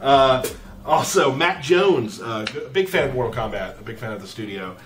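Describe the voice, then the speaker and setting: A man hosting a casual live question-and-answer chat in an easygoing, joking, deadpan way. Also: mid-range voice, moderate pace, recorded from a distance. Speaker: livestream host from a game studio. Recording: faint background noise.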